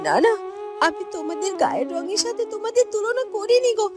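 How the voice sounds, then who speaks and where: A woman voicing a gentle, sorrowful young village woman in a melodramatic fairy-tale cartoon, pleading plaintively. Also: very high voice, big pitch swings, normal speed, over loud music. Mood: hurt.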